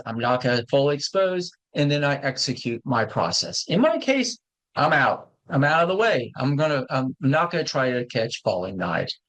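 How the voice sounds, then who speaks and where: A man's voice: medium pitch, poor audio. A man in his fifties talking casually and plainly about investing. He repeats himself and corrects himself as he goes. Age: 50s. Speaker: market show host. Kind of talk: casual educational talk on investing.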